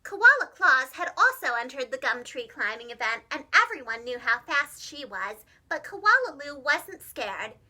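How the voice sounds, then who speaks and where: Woman, children's story narrator, very high bright voice, lively swooping intonation, expressive, dramatic. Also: unhurried, few pauses. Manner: animated, dramatic, gentle enunciation for young children. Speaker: preschool teacher reading stories aloud.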